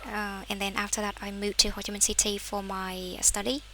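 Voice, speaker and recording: A woman's voice: medium pitch, little pitch movement, fairly quick, fairly bright tone, a little hiss or hum. A woman, an English teacher leading a class conversation and correcting her students' phrasing. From Vietnam.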